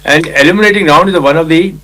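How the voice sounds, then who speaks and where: An older man with an Indian accent tells a war story in a loud, commanding voice, his pitch sitting a little high for a man. He acts out orders and shouts from the battlefield and keeps clearing his throat between lines. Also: fast talker, low-quality recording. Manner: loud commanding voice, vivid retelling with acted quotes. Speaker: retired army officer recounting war.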